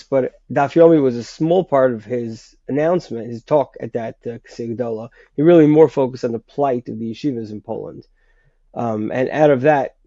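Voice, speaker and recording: A man with a sinus infection explaining history in a conversational way, pausing often and restarting his sentences. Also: medium pitch, dark tone, dry recording. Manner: hesitant, many restarts and fillers. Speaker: guest writer. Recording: dull, lo-fi sound.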